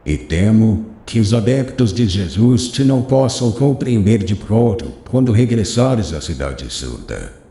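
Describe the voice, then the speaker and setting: A man's voice: on the low side, animated, a little slow, warm tone, echoey room. A man voicing an old, wise rabbi who counsels his former pupil in a solemn, paternal way. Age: older. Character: old wise male rabbi, gentle teacher. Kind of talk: audiobook character reading.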